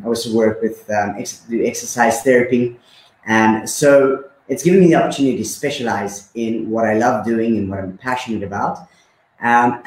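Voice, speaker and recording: Man from Zimbabwe, thirties, relaxed talk with fillers, roomy sound. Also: mid-range voice. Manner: relaxed, with many fillers and repeats. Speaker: guest. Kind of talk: casual conversation.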